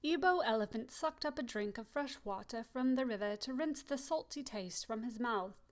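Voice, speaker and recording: A woman reading a children's picture book aloud, expressive and slowing down on key phrases. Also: a little high. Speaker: storybook reader. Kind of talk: children's story read-aloud.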